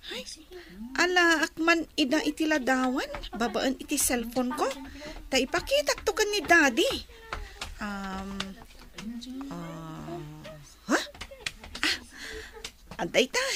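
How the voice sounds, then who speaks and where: A very high, girlish voice from a woman actor that takes its time. She is a sly, coaxing tattletale schoolgirl in a radio comedy-drama. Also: heavily acted. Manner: scheming, coaxing.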